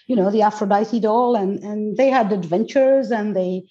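Woman in her forties, from Greece, non-native English, mellow dark tone, halting restarts, dull lo-fi sound. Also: mid-range voice. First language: not English. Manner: warm, searching for words, frequent restarts and laughs. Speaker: guest, goddess teacher and coach. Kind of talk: casual interview chat.

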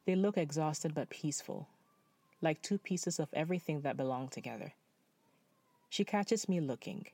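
Quiet reflective man from Nepal. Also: fairly high pitch, normal speed, vocal fry, dry recording. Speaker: storyteller. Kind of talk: spoken word personal essay.

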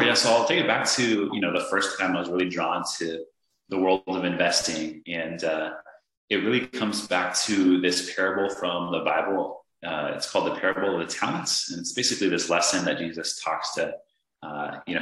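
A man from Northern Virginia, a guest on a podcast, speaking earnestly in a halting way with many fillers and restarts. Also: sits low, fairly bright tone. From Northern Virginia, USA. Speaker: podcast guest, real estate investor. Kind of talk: casual interview conversation. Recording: echoey room.

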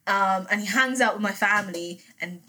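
A woman in her twenties with a Filipino-English accent, chatting casually and telling animated stories with many fillers. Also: medium pitch, moderate pace, poor audio. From Philippines. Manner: animated storyteller, lots of fillers. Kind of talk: casual chat.

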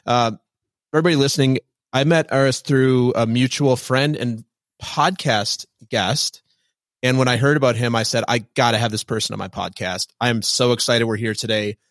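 A man's voice: medium pitch, fairly even intonation, normal speed, a bit bright, no room sound. Man, podcast host, enthusiastic, chatty, dry close sound. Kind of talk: podcast interview.

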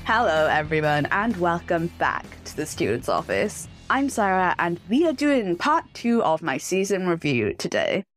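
A woman hosting a solo sports podcast, enthusiastic and emphatic, her voice slightly rough from a cough and cold. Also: on the low side, very animated, normal speed, few pauses, over music. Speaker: podcast host.

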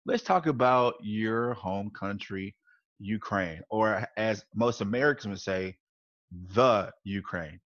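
A man hosts a relaxed interview show, asking friendly, meandering questions. His voice sits on the low side, and the recording sounds dull and lo-fi. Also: slow pace. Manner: friendly, loose, rambling questions. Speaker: host. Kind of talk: casual interview chat. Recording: lo-fi muffled sound.

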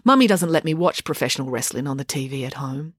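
A prim, cheerful rich girl, voiced by a woman reader, blunt and sunny. Her voice is lively in its rise and fall and sounds dry and close. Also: extremely low pitch, fast, no room sound.

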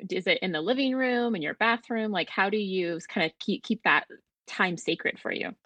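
A woman hosting an interview, encouraging her guest with quick affirmations and follow-up questions. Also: medium pitch, a bit bright, dry recording. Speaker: podcast host.

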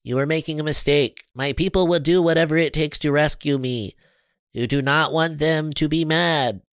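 A man's voice: a little high, expressive, measured pace, no room sound, pitched above the performer's own voice. A man voicing a small, earnest, fretful alien scientist with formal, stilted phrasing in a children's storybook. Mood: indignant warning.

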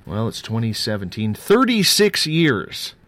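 A man in his forties hosting a live radio show, rattling through promos with lively energy, then dropping low and slow for serious parts. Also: no echo.

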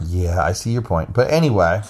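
A man's voice: deep voice, expressive, fairly quick, a little mellow. A man co-hosting a casual, unscripted podcast, explaining things in a rambling way into a phone. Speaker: podcast co-host. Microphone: recorded into a phone app.

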